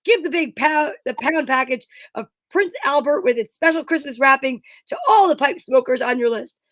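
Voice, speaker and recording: A woman's voice: high-pitched, lively intonation, moderate pace, a bit bright, dry recording. A woman co-hosting an online show, chatting casually and reading vintage radio advertising copy. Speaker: co-host reading old radio show scripts.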